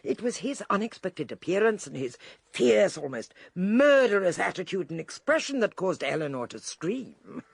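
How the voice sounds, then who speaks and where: An actress playing a shrewd, eccentric older British lady detective in a radio drama, theatrical, deliberate and drily amused. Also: medium pitch, big pitch swings, creaky, breathy edge, no echo, exaggerated voice. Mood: shrewd, explaining.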